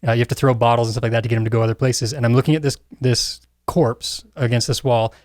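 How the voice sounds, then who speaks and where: A man's voice: mid-range voice, flat delivery, fast talker, darkish tone, dry recording, studio quality. A middle-aged man talking casually as a co-host on a panel podcast.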